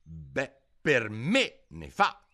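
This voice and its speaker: A man's voice: fairly low pitch, wildly swooping pitch, very slow, sharp tone, voice pushed up, full character voice. A man voicing an earnest, puzzled young girl in an audiobook, speaking up indignantly. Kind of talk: audiobook character voice.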